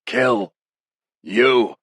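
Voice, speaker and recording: Male narrator as a pained tough captive, low voice, clenched teeth, angry defiance, thriller audiobook, dry sound. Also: moderate pace, a bit bright, slightly rough, no echo. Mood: furious.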